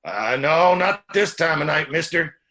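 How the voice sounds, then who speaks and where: An actor playing a curt, grumpy male roadside lunchroom keeper in an old-time radio drama, gruff and unwelcoming. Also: on the high side, lively intonation, measured pace, bright, forceful tone. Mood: irritated.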